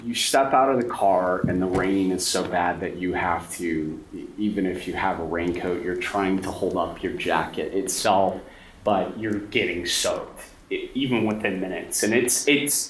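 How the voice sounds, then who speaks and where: A man's voice: low-pitched, unhurried. A man acting as game master, narrating a tabletop horror game to players. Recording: echoey room, faint noise.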